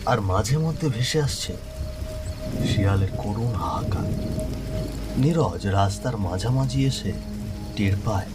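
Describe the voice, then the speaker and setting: A man's voice: lowish voice, animated, a little slow, long pauses, over loud music. A man narrating a scripted horror story in a dramatic, suspenseful manner.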